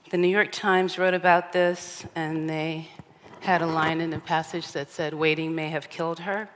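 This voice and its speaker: A woman with a deep, low voice gives a measured lecture from a theater stage, stopping often on ums. Her voice rings with long hall reverb over a faint hiss. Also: fairly bright tone. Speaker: artist giving a conference talk. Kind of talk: lecture with slides. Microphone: reading at a lectern.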